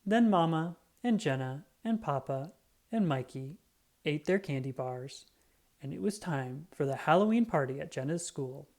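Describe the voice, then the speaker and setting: A woman narrating a children's book in an expressive, scripted read-aloud style, acting out the dialogue. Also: deep voice, normal speed.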